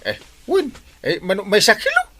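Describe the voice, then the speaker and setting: A comic village captain from an Ilocano radio drama. He grumbles and exclaims, breaking in with hesitant ehs and ahs, and his pitch swoops widely. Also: huge pitch swings, moderate pace, bright, forceful tone, full character voice. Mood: grumbling. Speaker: actor in radio comedy drama. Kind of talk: Philippine radio comedy-drama acting.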